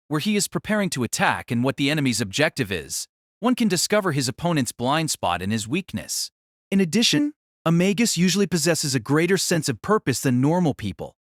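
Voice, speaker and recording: Guy narrating a dramatic novel, formal measured read, voice a bit high and really lively, dry sound. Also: dry recording. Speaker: audiobook narrator.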